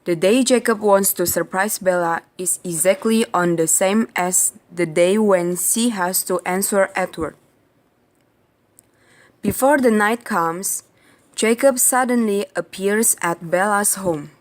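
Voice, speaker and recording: A woman's voice: mid-range voice, slow pace. A woman narrating an amateur radio play from a script.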